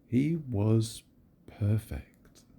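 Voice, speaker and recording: Slow, husky-voiced grandfather storyteller. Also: lowish voice. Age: older. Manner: gentle, very expressive storytelling. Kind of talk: reading a picture book aloud.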